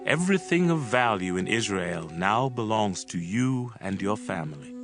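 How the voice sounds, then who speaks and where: An actor playing a calm, authoritative male prophet in dramatized scripture, assured and hospitable. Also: lowish voice, moderate pace, over music.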